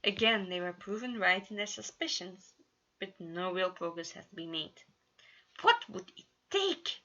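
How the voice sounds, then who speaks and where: A woman giving an amateur, expressive reading of a story, now and then stumbling over words. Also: on the low side, normal speed, dull audio. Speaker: fan fiction narrator.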